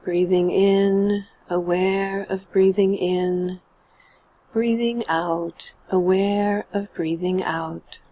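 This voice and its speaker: Soft-toned, mellow woman guiding meditation. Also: mid-range voice. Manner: calm, measured, gentle phrasing with trailing sentence ends. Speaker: meditation guide. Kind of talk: guided meditation narration.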